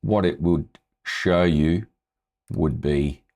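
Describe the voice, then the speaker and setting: A man with a low voice talking casually as a music tutor, walking through a screen-share tutorial and often checking in with "right?". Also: takes it slowly, darkish tone.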